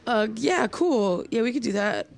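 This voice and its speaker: A woman playing an awkward, guarded young loner in naturalistic audio drama, speaking in a hesitant, halting way. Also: fairly high pitch, animated, vocal fry.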